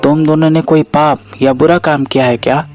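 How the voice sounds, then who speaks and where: A man's voice: fairly high pitch, steady tone, normal speed, a little mellow, faint music behind, natural voice. A man acting village men in a cartoon moral tale. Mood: earnest.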